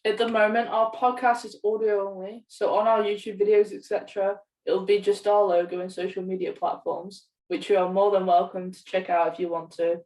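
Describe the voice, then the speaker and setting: Young female co-host, average pitch, recorded at some distance from the microphone. Casual, plain-spoken, explanatory chat. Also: flat delivery, a little fast, hardly any pauses, a little mellow. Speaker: podcast co-host.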